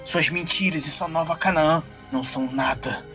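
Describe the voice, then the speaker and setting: A man's voice: fairly high pitch, moderate pace, pushed and bright, faint music behind. A man playing a defiant, self-righteous inquisitor in a tabletop game, haughty and firm.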